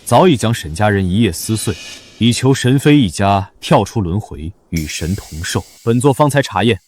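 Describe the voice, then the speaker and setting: A man's voice: on the low side, lively intonation, normal speed, a little mellow. An actor playing a haughty male underworld envoy in a fantasy drama, speaking with contempt. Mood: solemn.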